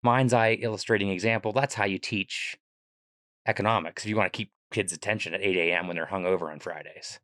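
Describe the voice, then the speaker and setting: A man with a Pittsburgh accent, a guest on a podcast, explaining ideas quickly and animatedly and swearing casually. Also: on the low side, steady tone, a bit bright, no room sound, studio quality. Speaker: guest, economics professor and comedian.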